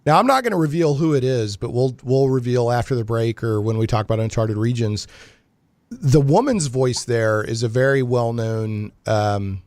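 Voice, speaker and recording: A man hosting a live radio show, chatting informally and haltingly, often repeating words as he goes. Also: medium pitch, expressive, darkish tone, no room sound. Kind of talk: casual live radio chat.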